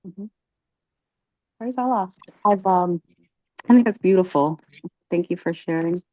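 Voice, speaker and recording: A woman leading a religious class, asking catechism questions and encouraging her students, often pausing with ums. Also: lowish voice, darkish tone, no echo, rough recording. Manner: encouraging, halting with many ums.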